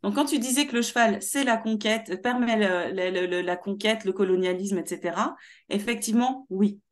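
A young woman from Paris with Martinican roots, speaking as a guest in an animated interview over a video call. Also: mid-range voice, normal speed. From Martinique heritage, born in Paris. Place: small room at home.

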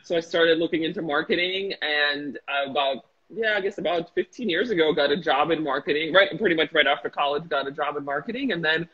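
A woman's voice: low voice, fairly quick, few pauses, fairly bright tone, breathy edge, highs cut off. A woman in her thirties, born in the former Soviet Union with Russian as her first language, chatting animatedly as an interview guest over a video call, with lots of fillers and restarts. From former Soviet Union, raised in Chicago. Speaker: guest, marketing entrepreneur. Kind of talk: casual interview chat. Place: small room with a glass wall, indoors. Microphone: laptop webcam on a video call.

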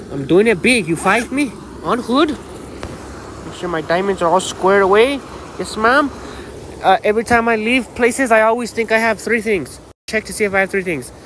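An American man with a notably high voice rambles casually in slang and swearing, full of swagger. He is talking into a phone outdoors, with light ambient noise behind him. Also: a bit bright. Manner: slangy, profane, boastful, with repeated catchphrases. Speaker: vlogger. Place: outdoors on a dirt path by a canal. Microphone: phone held at arm's length.

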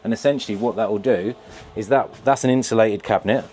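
A man's voice: fairly low pitch, fast talker, noisy background. A British man in his thirties, a pizza van owner, chatting casually in a vlog from inside his food van, rambling with lots of ums and restarts. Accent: British English. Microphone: lapel mic, camera on a shelf.

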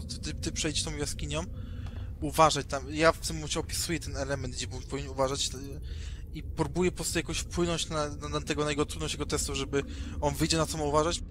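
Guy player in an rpg session, flat delivery, slightly high voice, keeps repeating himself while planning. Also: noisy background. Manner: halting, repeats words as he plans. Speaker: tabletop roleplay player. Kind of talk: improvised in-game discussion.

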